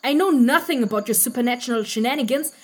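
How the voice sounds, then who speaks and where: A woman's voice: on the high side, quick pace, lightly acted. A woman playing a sarcastic, jittery female outsider, wry and exclamatory, speaking casually in an audio drama. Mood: indignant.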